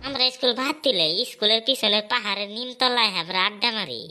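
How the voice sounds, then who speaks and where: A woman's voice: medium pitch, fast, sharp tone, slight background noise, natural voice. A woman voicing a cheeky, scheming truant schoolboy in a comedy cartoon, boastful and teasing, with a rural Bangladeshi Bengali accent.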